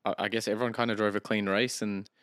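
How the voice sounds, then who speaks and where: A man co-hosting a casual sports podcast, laid-back and quick to laugh. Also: lowish voice, level tone, fairly bright tone, dry recording, clean studio sound. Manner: laid-back, laughs often, says "mate". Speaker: podcast co-host. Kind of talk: casual chat.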